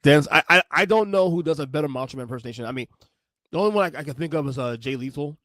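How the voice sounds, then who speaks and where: A man's voice: fairly high pitch, lively intonation, rapid-fire, sharp tone, dry recording, studio quality. Rambling, emphatic man in his forties. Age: 40s. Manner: rambling, emphatic, repeats himself, curses. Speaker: podcast host. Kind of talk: casual commentary on video clips.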